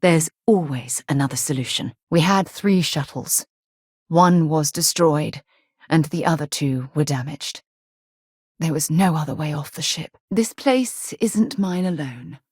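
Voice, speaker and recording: A principled woman who leads space explorers in a video game. Her voice sits a little low with a husky grain, recorded very dry. She speaks crisply and earnestly, like someone used to being in charge. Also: darkish tone, dry recording, full character voice. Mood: concerned, firm, then grave, then calm, warm. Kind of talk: scripted game dialogue.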